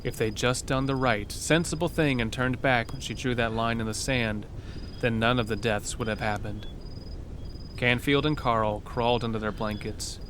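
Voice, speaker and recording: A man's voice: mid-range voice, steady tone, normal speed, fairly bright tone, a little hiss or hum. A man narrating a scripted western horror story for an audio drama.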